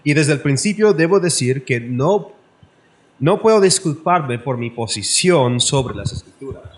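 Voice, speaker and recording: A man from Canada giving a formal lecture from his notes, careful and measured, slightly halting. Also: mid-range voice, expressive. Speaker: guest lecturer.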